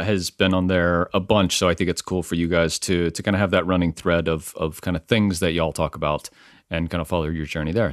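A man's voice: sits low, a bit bright, dry recording, clean studio sound. A man hosting a podcast, chatting casually with a guest and often restarting his sentences. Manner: chatty, lots of fillers and restarts. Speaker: podcast host. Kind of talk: casual conversational interview. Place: home in a small village.

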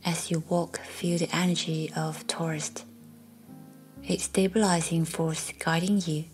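A woman guiding a meditation in a soft, soothing, breathy voice that often nears a whisper, leaving long pauses. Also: sits low. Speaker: meditation guide. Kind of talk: guided meditation narration. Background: faint music.

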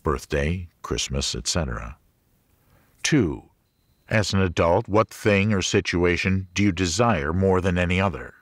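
A man reading a religious audiobook as a scripted narration, in a measured, clearly enunciated manner. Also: low voice, animated, no echo, studio quality.

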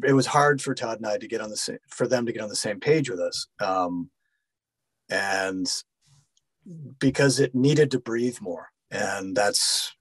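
A man with a fairly low voice talks casually as an interview guest, in the role of actor and director. The sound is dry, with hardly any room to it. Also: slightly rough, dry recording.

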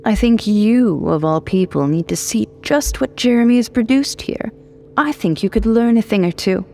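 A woman's voice: mid-range voice, moderate pace, gravelly, darkish tone, a little hiss or hum, voice pushed up, close to an everyday voice. Woman voicing a gushing, chummy male teacher. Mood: condescending. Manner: gushing, emphatic. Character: enthusiastic, chummy, condescending male college teacher. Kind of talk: audio story dialogue.

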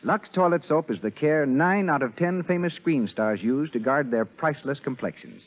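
A man announcing in a scripted 1930s radio style, delivering a sponsor's pitch. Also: animated, normal speed. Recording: muffled, narrow 1930s radio broadcast.

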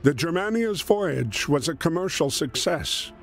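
A man performing as a solemn storyteller, narrating a wartime adventure game in a measured, grave, expressive way. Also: fairly high pitch, vocal fry. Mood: solemn storytelling. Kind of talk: scripted dramatic storytelling narration. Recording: music under the voice.

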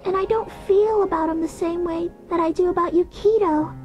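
Very high-pitched earnest little girl. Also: steady tone, moderate pace, lo-fi sound, faint music behind. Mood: anxious, wondering. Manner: childlike, earnest. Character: sweet, earnest young girl in anime dub.

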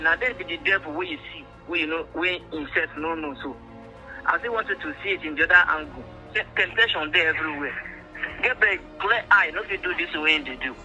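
A Nigerian man pleads his case in a very sharp, forceful voice. He talks fast and sits high for a man. He is emphatic and circles back over his points, with music playing behind the call. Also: highs cut off. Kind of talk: earnest phone conversation in mixed English and Pidgin.

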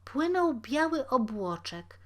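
A woman's voice: high-pitched, sing-song, slow pace, pitched above the performer's own voice, heavily acted. A woman voicing a humble, sad, pious poor old woman in a storybook tale, speaking meekly and deferentially. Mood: earnest recollection. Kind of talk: storybook character reading.

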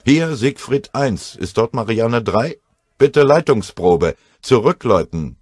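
A man voicing a brisk military field telephone operator with clipped, formulaic delivery in a war audiobook. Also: medium pitch, animated, a little mellow, husky, no echo, voice pushed up. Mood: brisk, routine.

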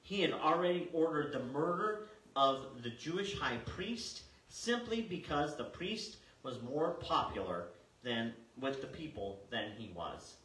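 An older man preaching a sermon as a pastor, reading scripture and explaining it in a measured, emphatic way. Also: a little high, very animated, a bit bright. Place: small church sanctuary, indoors. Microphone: far camera. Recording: roomy, distant mic, faint noise.

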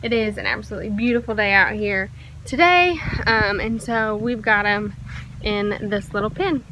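A young woman chats easily into her phone out in a sunny backyard, her talk loose and full of filler words. Her voice sits at an ordinary pitch for a woman, with a light hum of outdoor noise underneath. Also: normal speed. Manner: relaxed and chatty, many "um"s and soft laughs. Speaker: homestead vlogger. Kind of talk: casual vlog chat. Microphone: phone held at arm's length.

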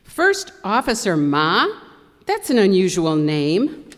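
A woman's voice: medium pitch, huge pitch swings, takes it slowly. A woman playing an easily exasperated, theatrical stranded tourist in a radio skit. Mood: puzzled. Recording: echoey hall.

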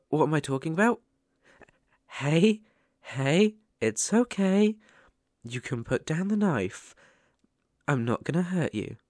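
A man plays a shy, lonely young British ghost in an ASMR roleplay. The delivery is gentle, apologetic and full of stammers, taken at a slow pace with pitch that moves freely. The sound is dry, with no room around it. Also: no room sound, exaggerated voice. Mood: excited, amazed, then anxious, pleading.

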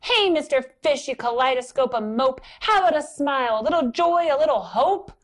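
A squirmy, impolite squid lady from a picture book, teasing in a high, pert voice, voiced by a woman reading to children. Each line jumps well above her own pitch. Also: animated, brisk.

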